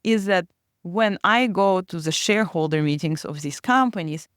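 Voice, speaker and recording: Woman prof talking finance, smooth clear voice, dry close sound, chatty and explaining. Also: on the low side, expressive, moderate pace, no echo. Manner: chatty, explains with everyday comparisons. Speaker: finance professor, co-host. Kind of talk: casual academic discussion.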